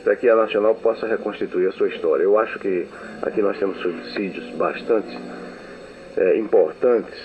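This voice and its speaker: A man's voice: on the low side, moderate pace. A man interviewing a guest for a radio station, speaking in a courteous and formal way. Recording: narrow, phone-like old radio archive.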